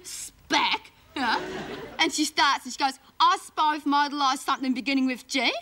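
A woman's voice: sits high, normal speed. A woman comedian playing a gossipy, indignant, cheeky Australian schoolgirl, telling rambling slangy stories in a stand-up monologue. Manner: breathless rambling slangy storytelling. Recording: live stage, loud audience laughter and noise. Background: loud laughing crowd.

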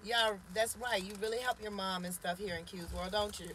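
A woman's voice: medium pitch, fairly even intonation, fairly quick, a bit bright. A woman in her forties hosting a casual live stream from a parked car, chatting and teasing and greeting viewers by name. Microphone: phone camera at arm's length.

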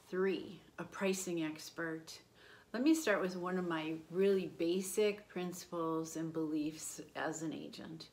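Confident woman in her fifties, low-voiced. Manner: steady, confident listing of points. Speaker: real estate agent. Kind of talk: promotional talk to camera. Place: indoor home room by a window.

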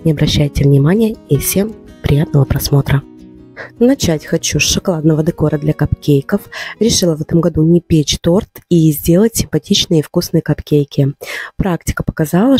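A woman narrating her home vlog as a voice-over, slightly ill and a little hoarse, calmly explaining each step. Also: low-pitched, normal speed, dry recording, soft background music. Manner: calm, instructive, explains step by step. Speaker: home vlogger.

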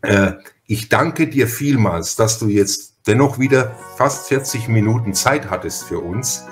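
A man's voice: fairly low pitch, unhurried, faint music behind. A man chatting as a podcast host in an effusive, long-winded, personal way. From Franconia, Germany. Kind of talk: conversational interview chat.

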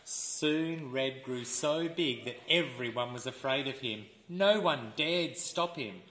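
A man reading a children's storybook aloud as an educator, expressive and deliberate, pausing for listeners to fill in words. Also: medium pitch. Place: indoors, seated in a room with wooden floor. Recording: roomy indoor camera sound.

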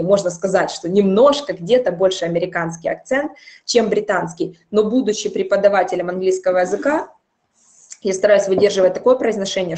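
A woman in her thirties teaching an English grammar lesson, explaining step by step and repeating example phrases slowly, recorded by a webcam in a small room. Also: mid-range voice, mellow.

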